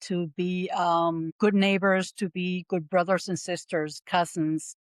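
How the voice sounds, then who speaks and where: A woman, telling her story as a guest in a podcast interview, earnest and pausing often on "um". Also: on the low side, level tone, clean tone, no echo, studio quality. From Puerto Rico. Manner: earnest storytelling with frequent "um" pauses.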